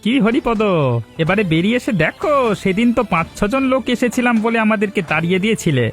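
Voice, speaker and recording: An actor voicing a stern older village uncle in a cartoon, scolding and rallying people in a quick, forceful way. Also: male voice, high-pitched, lively intonation, soft background music. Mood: determined. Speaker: village elder. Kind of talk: cartoon voice acting.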